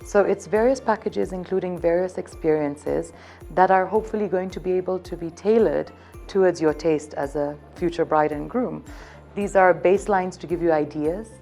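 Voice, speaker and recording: A woman, talking warmly about her hotel's offerings in an interview. Her voice sits a little low and mellow, and she stays upbeat as she returns again and again to her favourite theme. Soft music plays faintly behind her. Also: normal speed, creaky. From Zambia. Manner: upbeat, selling, keeps circling back to one theme. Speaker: hotel events representative. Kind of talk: promotional interview answers.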